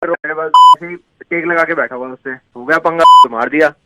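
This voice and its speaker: Male phone-call target, somewhat high voice, heavy line noise. Blunt, agitated, slangy. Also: normal speed. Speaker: prank call victim. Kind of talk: informal phone argument.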